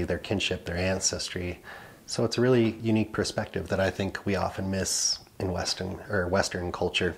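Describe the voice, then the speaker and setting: Male brand rep, low register, relaxed unscripted conversational pitch to camera, faint room noise behind. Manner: conversational, peppered with "you know". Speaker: brand representative presenting products. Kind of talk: casual unscripted product presentation. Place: indoor showroom with wooden pallet wall.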